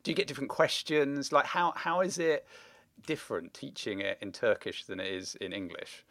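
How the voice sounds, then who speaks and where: A man's voice: mid-range voice, moderate pace, a bit bright, no echo. A man hosting a casual interview, often stammering and restarting his questions. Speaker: podcast host, interviewer.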